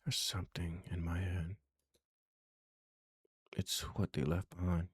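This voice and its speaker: A man reads horror game text aloud in a low, hushed, husky voice. The sound is dry and close, with a dramatic storytelling delivery. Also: little pitch movement, a little slow, no echo. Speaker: game player reading narration aloud.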